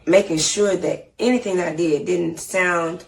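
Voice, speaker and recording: Southern woman, low voice, chatty rambling guest, a bit distant on mic. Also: measured pace, creaky. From Atlanta. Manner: animated, rambling, frequent "you know what I'm saying". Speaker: guest artist. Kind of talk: casual interview.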